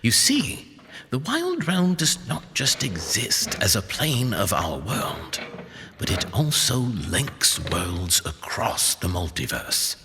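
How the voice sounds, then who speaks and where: A man plays a theatrical old storyteller in a fantasy radio play. The voice is low and sharp-edged, deliberate in delivery, ringing in a long reverb with faint noise. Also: wildly swooping pitch, exaggerated voice. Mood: knowing, explanatory. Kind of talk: fan audio drama acting.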